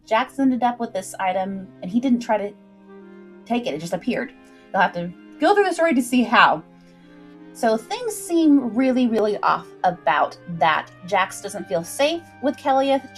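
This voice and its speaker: A woman hosting a livestream, recapping a story informally and explaining it directly to her viewers in a chatty way. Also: mid-range voice.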